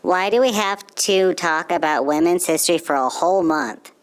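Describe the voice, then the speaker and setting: A woman plays a curious, agreeable childlike puppet for a children's storytime. The voice sits a little low, with clear crowd sound around it. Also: expressive, moderate pace.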